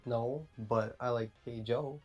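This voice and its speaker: A man's voice: medium pitch, steady tone, takes it slowly, darkish tone, dull audio, faint music behind. A man in casual, jokey gaming banter as a player on voice chat, using a poor microphone. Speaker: game player. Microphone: bad microphone on voice chat. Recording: distant, poor-quality microphone.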